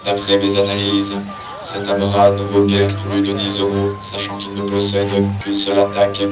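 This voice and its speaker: A man's voice: lowish voice, completely flat delivery, moderate pace, pitched below the performer's own voice, lightly acted. A man voicing a curt, sarcastic talking gadget that speaks in a robotic, insulting way in a cartoon. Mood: deadpan, rude. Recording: echoey, music behind.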